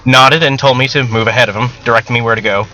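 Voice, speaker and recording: A man narrates first-person fiction in an informal, conversational manner. His voice sits at a middle pitch and has a bright, forceful edge, like an author telling his own story. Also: steady tone, fast talker, rough recording. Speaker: author reading own novel excerpt.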